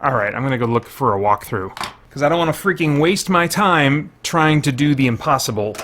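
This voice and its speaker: A man's voice: medium pitch, expressive, brisk, a bit bright, slight background noise. A man giving casual video game commentary as a reviewer, thinking aloud in informal language with mild exclamations.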